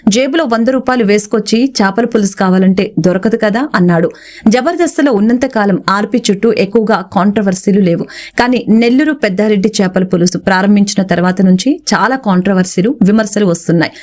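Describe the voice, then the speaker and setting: A woman's voice: medium pitch, a little fast, darkish tone. A woman reading a scripted celebrity news report as a narrator.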